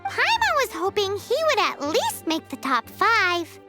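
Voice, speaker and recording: A woman voicing a tiny, excitable, childlike fairy companion in a video game, squeaky and perky. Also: measured pace, exaggerated voice. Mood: perky, blunt. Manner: speaks of herself in third person, perky. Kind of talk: video game cutscene acting. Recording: music behind the voice.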